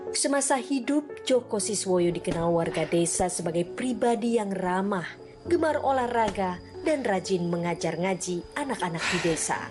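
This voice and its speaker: A woman's voice: medium pitch, animated, moderate pace. Indonesian female crime-report narrator over music.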